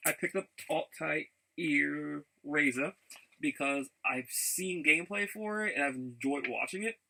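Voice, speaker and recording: A man rambling casually as a vlogger, enthusiastic about his hobbies, often halting, repeating words and backtracking. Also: on the high side, gravelly, pushed and bright, a little hiss or hum. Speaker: vlogger showing game and figure pickups. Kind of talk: casual rambling vlog chat.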